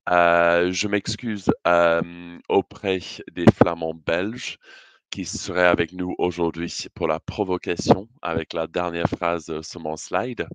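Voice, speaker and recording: A man's voice: deep voice, little pitch movement, slow, clear, resonant tone, no echo. A British man speaking in a second language with a British accent, giving a prepared conference talk in a hesitant, careful way. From Britain. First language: English.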